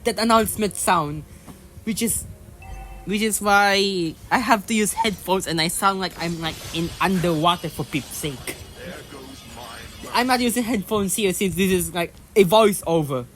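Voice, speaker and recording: A woman's voice: mid-range voice, measured pace. Rambling young woman over faint music. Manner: rambling, filler-heavy, restarts a lot; exasperated ranting. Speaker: mobile game tutorial creator. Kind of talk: casual gameplay voice-over. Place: home voice-over recording. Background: faint background music.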